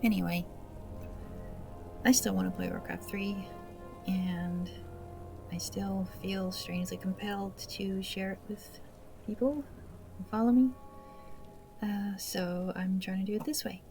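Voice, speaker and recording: A woman's voice: mid-range voice, fairly even intonation, a little slow, creaky, pauses often, over music. A woman hosting a gaming video with casual, awkward, self-deprecating commentary, speaking hesitantly. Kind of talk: casual let's play commentary.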